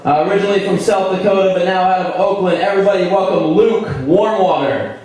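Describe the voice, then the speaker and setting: A man's voice: high voice, fast talker, darkish tone, a little hiss or hum. Upbeat man introducing a performer, echoey. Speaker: event host. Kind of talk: stage introduction. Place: live performance venue. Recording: echoey room from far off, crowd faint.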